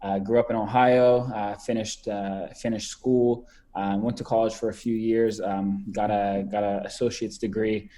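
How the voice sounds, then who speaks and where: A man's voice: on the low side, level tone, moderate pace, hardly any pauses, warm tone. A man in his twenties, a podcast guest talking casually over a video call, rambling with many fillers and repeated words. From Canada. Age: 20s.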